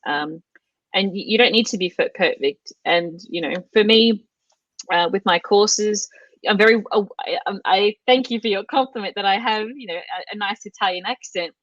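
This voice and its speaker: A woman's voice: medium pitch, moderate pace, a bit bright, dry recording, dull audio. A middle-aged Australian woman chatting casually as an interview guest, with lots of fillers and restarts. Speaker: guest, language course creator.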